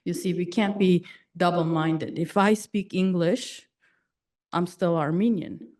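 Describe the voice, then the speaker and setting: Direct, low-voiced woman in audience. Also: steady tone, a little slow, a little mellow. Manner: direct, assertive, fluent. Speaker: audience member asking a question. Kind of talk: Q&A comment and question.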